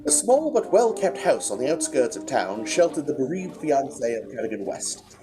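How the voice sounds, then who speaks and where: A man acting a gentleman narrator who recounts a detective case in a radio drama, measured and literary. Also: medium pitch, very animated, close to an everyday voice. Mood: atmospheric, recounting. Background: music.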